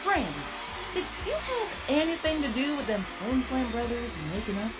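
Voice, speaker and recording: A woman's voice: high voice, big pitch swings, normal speed, poor audio. A woman playing a plain-spoken, hardworking country mare in a cartoon comic dub. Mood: amazed, curious. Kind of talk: fan comic dub character acting. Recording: music and crowd noise behind.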